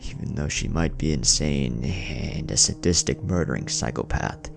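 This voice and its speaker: A man narrating a first-person horror story as a haunted, lovestruck teenage boy, brooding and halting with dramatic pauses. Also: very deep voice, creaky. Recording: music behind the voice.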